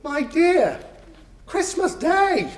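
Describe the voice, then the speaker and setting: An echoey room surrounds a mild, devoted Victorian father , played by a man. His voice sits high for a man, tender and earnest, as in a storybook told aloud. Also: very animated, extremely slow, full character voice. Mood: mildly pleading. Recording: roomy live recital sound.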